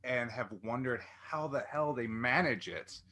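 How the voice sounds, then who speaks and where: A man's voice: medium pitch, unhurried, bright, forceful tone. An American man hosting a casual interview, talking in a rambling, self-deprecating way. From southern New Jersey, United States. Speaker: interview host.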